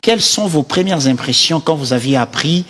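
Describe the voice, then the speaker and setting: A man's voice: on the high side, lively intonation, moderate pace, fairly bright tone, slight background noise. Hesitant man, reverberant studio. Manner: hesitant, many "euh" fillers. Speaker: talk show co-host. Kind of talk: studio talk show interviewing.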